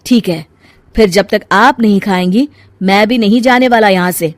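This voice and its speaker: A woman's voice: mid-range voice, normal speed, close to an everyday voice. A woman voicing a devoted young adult son in a cartoon story, earnest and coaxing. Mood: gently insistent. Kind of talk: cartoon family story dialogue.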